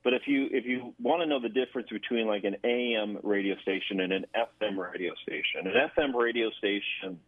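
Low-voiced man on a tinny phone line. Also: dry recording. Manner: halting, repeats words as he explains. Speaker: guest, government affairs director. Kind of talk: explanatory radio interview talk. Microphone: phone call. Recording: narrow tinny telephone line.

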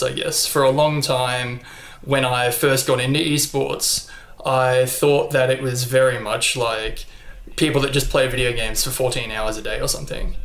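A young man with an Australian accent chats easily as an interview guest, filling his answers with ums and likes. His voice sits at an ordinary pitch for a man. The room around him rings with noticeable echo. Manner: relaxed, chatty, frequent ums and likes. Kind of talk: casual interview chat. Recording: echoey room.

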